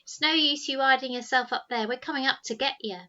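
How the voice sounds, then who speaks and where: A woman voicing a scruffy, bossy older boy in a storybook, quarrelsome and taunting, with rough colloquial speech. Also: sits high, brisk, pushed and bright, lo-fi sound, pitched above the performer's own voice. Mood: taunting, menacing.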